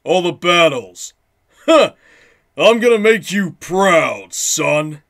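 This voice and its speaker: A young man voicing a boisterous, battle-loving warrior from a video game, hearty and forceful. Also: high-pitched, lively intonation, slow pace, gravelly, voice pushed up, exaggerated voice. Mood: boisterous pride.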